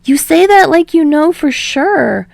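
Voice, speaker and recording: A friendly, candid young man, played by a woman reader in an audiobook story. He is easily awed and enthusiastic, his voice lifted in pitch. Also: animated, a little slow, vocal fry, dry recording, heavily acted. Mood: awed, excited.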